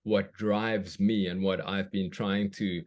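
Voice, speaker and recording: A man in his forties from South Africa talks in a low-pitched, thoughtful way. He pauses and restarts often as he works through ideas. His speech is an unhurried conversational reflection. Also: little pitch movement. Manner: thoughtful, searching, with frequent restarts. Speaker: guest, children's book author.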